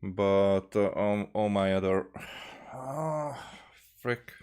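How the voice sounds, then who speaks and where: Male game streamer, Hungarian native speaker: lowish, unhurried, halting, casual muttered commentary. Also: a little mellow, clear, resonant tone. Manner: halting, muttering, repeats words. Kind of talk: casual live gameplay commentary. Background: game music.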